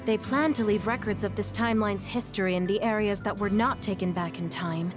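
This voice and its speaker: A woman voicing a calm, knowledgeable officer in a video game, explaining things precisely and with composure. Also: medium pitch, brisk, music in the background, lightly acted. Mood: calm, explanatory. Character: calm, knowledgeable female sci-fi squad officer. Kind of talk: video game cutscene voice acting.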